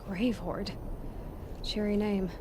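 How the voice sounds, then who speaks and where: A mid-pitched young heroine played by a woman from a video game, blunt and determined, with a sardonic edge to her direct questions. There is clear ambient noise behind her. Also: steady tone, slow pace, close to an everyday voice. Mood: wry, resolute. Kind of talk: video game dramatic dialogue.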